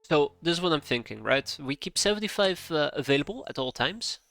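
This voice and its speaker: A man's voice: a little high, expressive, a little fast, gravelly, a bit bright, soft background music. A man in his thirties with a cold and a stuffy nose, streaming a strategy game live, thinking aloud and drifting off track as he chats with viewers. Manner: rambling, thinking aloud, easily sidetracked. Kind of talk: live gameplay commentary, chatting with viewers.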